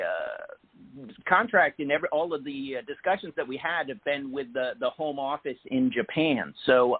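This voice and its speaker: A man with a mid-pitched, slightly husky voice answers interview questions. He explains at an even, measured pace and pauses often on fillers. The audio is narrow and phone-like. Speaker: guest, sports executive.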